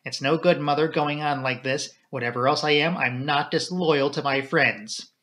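A man voicing a proud, earnest young upper-class woman in an audiobook, speaking clearly, firmly and solemnly. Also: a little high, normal speed, pushed and bright.

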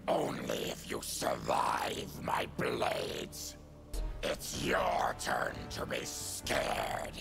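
An actor voicing a fierce, monstrous fanged warrior in a video game, with a guttural growl and a threatening manner. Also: male voice, rumbling low, vocal fry, over music, exaggerated voice. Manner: slow, threatening. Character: monstrous male fanged blade-armed warrior, fierce, hostile. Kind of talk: video game fighter dialogue.

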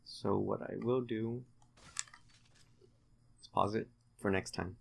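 A man's voice: lowish voice, steady tone, slow, creaky, husky. A man giving casual, laid-back, joking gameplay commentary to a friend, thinking aloud as he plays. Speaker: let's play host, playing the game.

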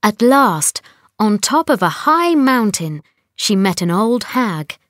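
A woman reads a children's bedtime story as narrator. Her delivery is unhurried and very lively in pitch, recorded close and dry. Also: no echo.

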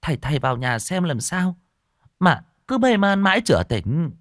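A man's voice: a little high, sing-song, moderate pace, fairly bright tone, no room sound, clean studio sound, pitched above the performer's own voice. A man voicing a weepy, whining middle-aged village wife in a told ghost story. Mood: pleading. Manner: wailing, whiny pleading.

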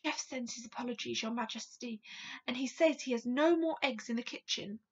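A woman voicing a discreet male palace butler in a storybook, speaking in a hushed, apologetic and deferential way. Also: on the high side, brisk, husky, highs cut off.

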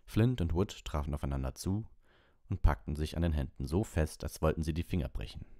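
A man's voice: very deep voice, brisk, mellow, no echo, studio quality. A man reading a story aloud as an audiobook narrator.

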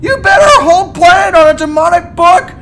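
An actor voicing an anxious, indignant teenage grandson in a cartoon audio drama, whiny, stammering and frantic. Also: male voice, extremely high pitch, lively intonation, fairly quick, fairly bright tone, a little hiss or hum. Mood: indignant, tearful. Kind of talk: fan-made cartoon audio drama acting.

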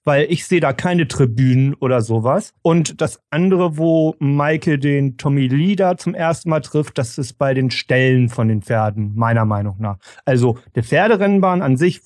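A man's voice: medium pitch, a little mellow, dry recording, studio quality. A man moderating a casual panel discussion on a review podcast.

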